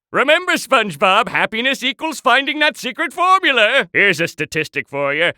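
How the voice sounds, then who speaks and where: Pompous tiny cartoon villain, sharp and forceful. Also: male voice, high voice, no echo, clean studio sound, heavily acted. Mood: impatient, angry. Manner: pompous, theatrical, sarcastic. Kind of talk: cartoon video game voice acting.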